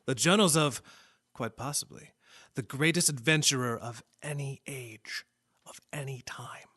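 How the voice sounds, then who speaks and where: A man's voice: mid-range voice, a little slow, pushed and bright, slightly rough. A man acting a grave researcher who narrates a radio drama in a theatrical, portentous way. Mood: urgent, portentous. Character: grave doctor-researcher narrating a dying world's adventure tale.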